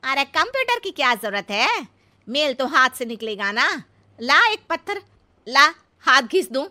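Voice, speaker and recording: Shrill, cheeky old village mother-in-law. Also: very animated, unhurried, pushed and bright, heavily acted. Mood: naive, cheerful. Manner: literal-minded, scolding, folksy. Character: naive, cheeky elderly village mother-in-law, stubborn and talkative. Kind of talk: exaggerated comic cartoon story acting.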